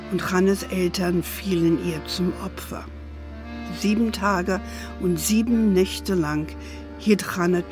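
A gentle, playful grandmother acted by a woman tells her family history as in an audio drama. She speaks slowly, in a measured storybook way, with music clearly playing underneath. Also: medium pitch, natural voice.

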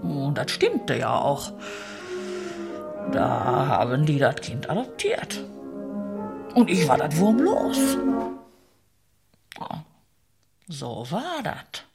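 An actress playing a woman in a radio play who slowly and haltingly confesses a hard past. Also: sits low, big pitch swings, gravelly, exaggerated voice. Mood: resigned. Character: woman recounting how she gave up her baby. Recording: music behind.

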